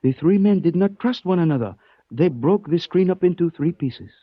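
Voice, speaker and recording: An actor in a 1940s radio detective drama playing a polite, menacing Chinese man out to avenge his father, with formal, measured phrasing. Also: sits high, animated, no echo. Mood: grave, polite. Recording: muffled 1940s radio recording.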